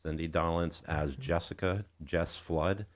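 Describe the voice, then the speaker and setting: A man's voice: deep voice, measured pace. A male radio host running a music interview show, speaking in an emphatic, showman-like manner.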